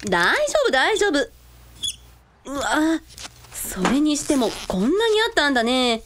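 A woman's voice: sits high, sing-song, measured pace, noisy background. A woman playing an easygoing eldest sister who puts on a brave face, in a radio drama. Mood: breezy.

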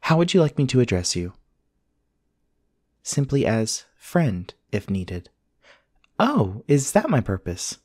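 A man playing a polite, earnest companion robot in an ASMR roleplay, speaking in formal, measured, precise sentences. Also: mid-range voice, sing-song, darkish tone, dry recording, clean studio sound. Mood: courteous, helpful. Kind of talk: scripted ASMR roleplay acting.

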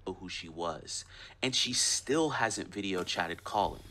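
Man, low voice, scripted recap narration, faint noise. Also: expressive, slow, bright, forceful tone.

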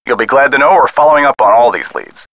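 An actor voicing a weary, skeptical American police sergeant in a video game, brusque, folksy and condescending, as if on a telephone call. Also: male voice, medium pitch, expressive, quick pace, fairly bright tone, dry recording. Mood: dismissive. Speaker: police missing-persons officer.

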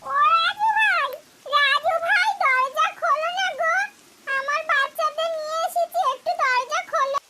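A woman's voice: very high voice, normal speed, sharp tone, slight background noise, heavily acted. A woman voicing an anxious, tender mother bird in a cartoon, pleading and plaintive. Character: anxious mother bird, tender with her chicks, helpless in a storm. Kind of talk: cartoon voice acting.

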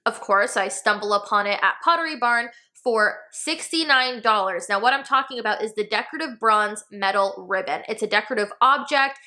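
Bright-voiced American woman reviewing home decor. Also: on the high side, fairly quick, hardly any pauses. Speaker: home decor video host. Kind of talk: casual product review to camera. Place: small furnished room indoors.

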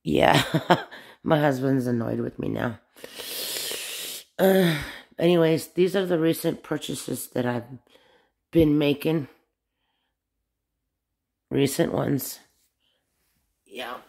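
A woman talks slowly and hesitantly into the phone she holds, her voice carrying a gravelly fry. She rambles in a casual home vlog, recorded in a small bedroom. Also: sits low, darkish tone. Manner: slow, hesitant, loses her words, nervous. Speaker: vlogger showing her doll collection. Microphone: phone camera held by the speaker, off screen.

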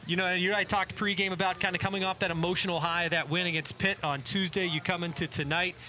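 Young male host, bright and brisk, fast questions, headset mic. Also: high voice, steady tone. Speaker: team media interviewer. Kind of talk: post-game interview questions. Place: indoor media room before a backdrop.

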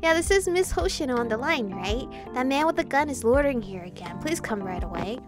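Young woman streamer, higher voice, game music behind. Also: animated, brisk. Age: young adult. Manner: informal slangy asides. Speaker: streamer playing a visual novel. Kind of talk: casual let's-play, reading game text aloud with reactions. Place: indoor room, webcam setup.

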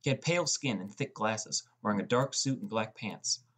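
A man's voice: lowish voice, expressive, normal speed, fairly bright tone, breathy edge. A man reading scripted first-person story narration as an audiobook narrator.

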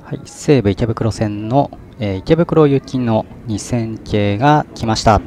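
A man's voice: lowish voice, a little slow, faint music behind. A man filming trains from an outdoor station deck, chatting casually and hesitantly as he points out each train. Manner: relaxed, chatty, frequent "ne" fillers and hesitations. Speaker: vlogger. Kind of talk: casual train-spotting commentary. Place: outdoor station deck overlooking rail yard.

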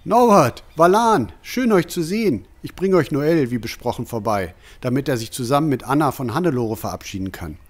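A man's voice: mid-range voice, expressive, moderate pace, few pauses, natural voice. A man playing a protective village father in a fantasy audio drama. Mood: hearty greeting.

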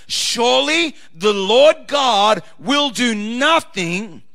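A passionate pastor preaches loudly from the pulpit in a very high, gravelly voice. His tone is bright and tense. He swings from shouted scripture to quieter, plainer asides. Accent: Australian. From Australia. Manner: loud, swings between shouted climaxes and low quiet asides.

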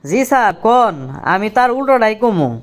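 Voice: mid-range voice, expressive, normal speed, natural voice. A performer voicing a cheeky, literal-minded village job applicant in a comedy cartoon, with a Bangladeshi accent and eager, colloquial speech. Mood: stubbornly contrary. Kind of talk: comic cartoon dialogue.

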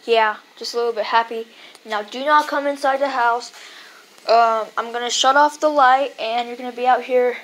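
A young performer playing a grumpy, tired father who scolds his son in a homemade skit, gruff and repeating his orders. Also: mid-range voice, fairly even intonation, moderate pace, a bit bright, slight background noise, natural voice, rough recording.

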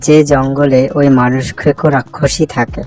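A woman's voice: extremely low pitch, little pitch movement, moderate pace, warm tone, faint music behind. A woman voicing a stubborn, determined young village boy in a cartoon story.

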